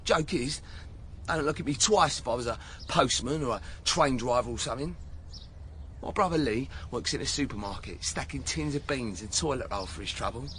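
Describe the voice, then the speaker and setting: A man plays a brash young English footballer in a radio drama, cornered by police and defiant. His voice sits at a middling pitch, with slangy, argumentative delivery. There is noticeable noise around him. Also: lively intonation, a little fast, a bit bright, natural voice. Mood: bitter, defiant. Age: young adult. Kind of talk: naturalistic radio drama acting. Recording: clearly noisy background ambience.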